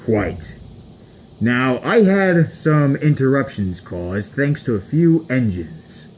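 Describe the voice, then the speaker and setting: A man's voice: medium pitch, sing-song, takes it slowly, darkish tone, a little hiss or hum. A man voicing a pompous, imperious, vain villain boss in a homemade story. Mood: smug, commanding. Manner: pompous, commanding.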